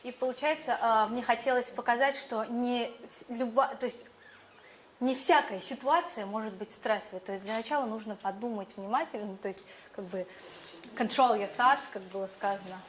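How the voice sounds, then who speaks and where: A woman's voice: fairly high pitch, normal speed, a little hiss or hum. A young woman whose first language is Buryat, a teacher answering jury questions thoughtfully with fillers and restarts. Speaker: teacher competition contestant.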